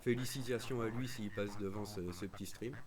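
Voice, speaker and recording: Casting: man with a low voice, relaxed radio host chat, clear chatter of people behind. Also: a little fast.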